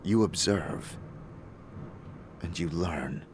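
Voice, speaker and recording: Man playing a deep, reflective fantasy wanderer. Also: background noise. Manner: earnest, reflective, halting. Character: thoughtful male wanderer on a quest, sincere, searching. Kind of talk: fantasy audio drama acting.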